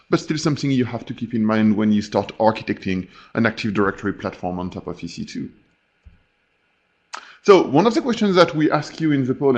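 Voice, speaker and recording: A man with a French accent walks listeners through a technical webinar. He talks at a middling pitch, stopping for fillers and restarting phrases as he goes. The audio is dull and lo-fi, as webinar sound often is. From France. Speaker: webinar presenter.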